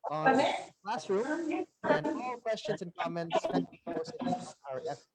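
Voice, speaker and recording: A woman with a Filipino accent co-hosting, giving unscripted reminders in a hesitant, halting way. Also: on the high side, big pitch swings, very noisy. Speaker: co-host.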